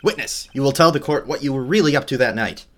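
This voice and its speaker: A man's voice: mid-range voice, quick pace, natural voice. A man voicing a stern, formal courtroom judge in a video game, speaking with authority. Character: stern, formal male courtroom judge presiding over trial. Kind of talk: video game dialogue read aloud.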